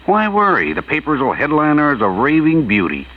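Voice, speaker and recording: A man's voice: a little high, wildly swooping pitch, brisk. A man playing a world-weary, blunt coroner in a 1940s radio drama, dry and sardonic. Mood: wry, weary. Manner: dry, sardonic, matter-of-fact. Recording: muffled vintage radio, faint noise.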